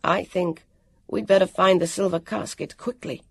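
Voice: mid-range voice, steady tone, moderate pace, vocal fry, husky, voice pushed up. The narrator voicing a lordly, bossy young boy who brushes things off airily.

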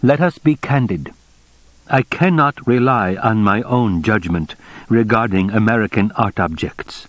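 A man's voice: fairly low pitch, unhurried, no echo, pitched above the performer's own voice, natural voice. A man doing a formal, precise official. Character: formal, measured middle-aged male Japanese official.

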